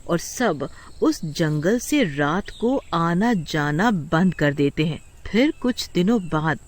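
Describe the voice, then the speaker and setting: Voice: mid-range voice, expressive, takes it slowly. A narrator telling a scripted horror story in a storytelling style. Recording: loud music behind the voice. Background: loud music.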